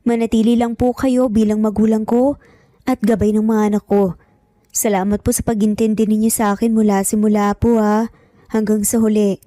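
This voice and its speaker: A woman's voice: mid-range voice, level tone, moderate pace, dark tone, no echo, lightly acted. A woman voicing a guarded, firm young single mother in an audio drama, with a Filipino accent. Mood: earnest, resolved.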